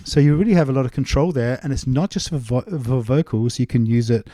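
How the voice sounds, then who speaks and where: A man's voice: medium pitch, a little fast, warm tone, dry recording, clean studio sound. A man giving a casual, step-by-step music production tutorial in an informal way. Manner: informal, explains step by step while demonstrating. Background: music loops and vocal samples.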